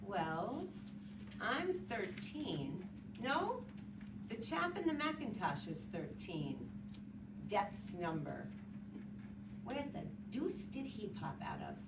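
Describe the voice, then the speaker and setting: A woman giving a dramatic literary reading of a musing, wry, morbidly curious man at a funeral, in drawn-out short phrases. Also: medium pitch, sing-song. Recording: echoey, distant, very noisy room.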